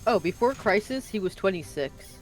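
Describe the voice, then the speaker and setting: A young woman chatting casually while playing a video game, relaxed and at times a little flat. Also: mid-range voice, normal speed, soft background music. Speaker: gamer. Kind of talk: casual let's-play chat.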